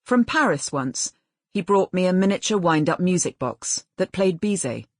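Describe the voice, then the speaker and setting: Woman, literary audiobook narration, lively intonation, very dry close sound, measured. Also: on the low side, no room sound.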